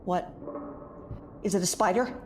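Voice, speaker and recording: A woman's voice: fairly low pitch, unhurried, close to an everyday voice. An actress playing a spooked, irritable young woman investigating haunted ships in an audio drama, with jumpy, sarcastic delivery. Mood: nervous. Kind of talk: audio drama acting, naturalistic dialogue. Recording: clearly noisy, with background ambience.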